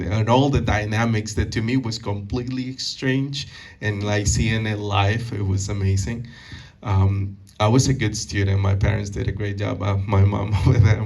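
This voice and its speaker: Man from Peru, thirties, low flat voice, halting speech full of fillers, distant mic in a meeting hall. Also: creaky. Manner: halting, many fillers and restarts. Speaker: guest speaker. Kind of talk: community talk answering audience questions.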